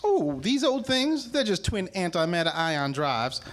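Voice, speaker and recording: Man playing a high-voiced, animated robot sidekick. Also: a little slow, exaggerated voice. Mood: helpful, dry. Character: wry, put-upon robot pilot and technician. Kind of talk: sci-fi comedy radio play.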